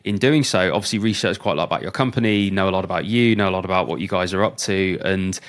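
A man's voice: low-pitched, quick pace. A man hosting a health tech podcast, introducing his guest in a chatty, enthusiastic way with frequent ums and restarts. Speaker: podcast host.

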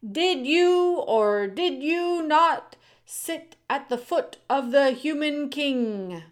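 A stern, self-important male head mouse from a storybook, played by a woman reader. The voice is raised in pitch and formal, with measured, judicial authority. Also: lively intonation. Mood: formal, accusing.

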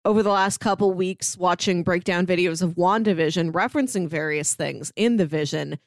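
A woman's voice: fairly low pitch, moderate pace, no room sound. A woman co-hosting a podcast, discussing a book in a casual, chatty way. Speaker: podcast co-host.

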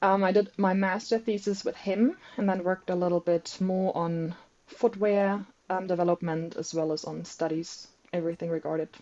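German-accented woman, mellow low voice. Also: fairly even intonation, moderate pace, muffled recording. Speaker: podcast guest, researcher and PhD candidate. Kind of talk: casual interview talk.

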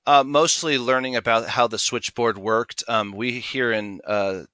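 A man with an American accent speaks as an interview guest, explaining his production work in detail. His voice is bright and crisp, with a very dry, close sound. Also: mid-range voice, steady tone, unhurried, dry recording. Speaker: podcast guest, audio drama producer. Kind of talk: interview answers, explanatory storytelling.

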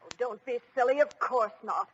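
A woman's voice: moderate pace, a bit bright, natural voice. An actress playing a haughty, bossy stepmother who scolds and gushes in an old-time children's radio play. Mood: bossy, scolding. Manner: scolding, shrill, gushing. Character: haughty bossy stepmother, fawning before royalty. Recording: dull noisy old radio recording.

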